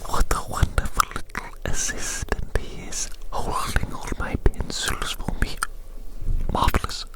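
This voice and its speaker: Whispered ASMR roleplay voice, breathy and unvoiced. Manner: soothing, deliberate, repeating phrases. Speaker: ASMR performer playing an examiner taking measurements.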